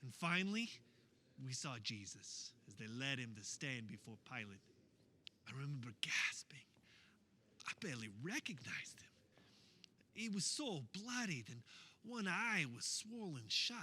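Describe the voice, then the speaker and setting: Man acting a distraught biblical disciple, forceful bright voice, widely swinging pitch, halting radio drama storytelling, faint noise. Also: very animated, heavily acted. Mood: shaken. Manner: emotional, halting, stammering storytelling. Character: anguished male disciple of Jesus, eyewitness recounting the crucifixion. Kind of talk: dramatic radio theater monologue.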